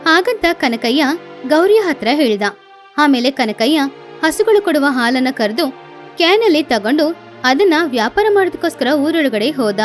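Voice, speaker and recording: A woman's voice: sits high, sing-song, moderate pace, soft background music. A woman narrating a scripted children's moral story as the storyteller.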